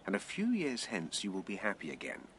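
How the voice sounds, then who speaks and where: A blunt skeptical victorian writer, dry formal talk, lowish but very expressive, faintly noisy radio play. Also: male voice, a little fast. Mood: frank, chiding. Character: frank, sceptical, poor Victorian man of letters. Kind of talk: BBC radio drama acting.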